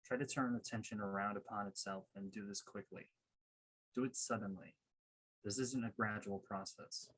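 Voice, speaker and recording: Low, husky man guiding a meditation. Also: fairly even intonation, moderate pace, fairly bright tone, poor audio. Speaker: meditation coach and guide. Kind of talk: guided meditation instruction.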